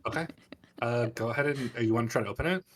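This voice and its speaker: A man's voice: medium pitch, very fast, a bit bright. Game master, male, slightly distant mic. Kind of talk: narrating an online tabletop fantasy game.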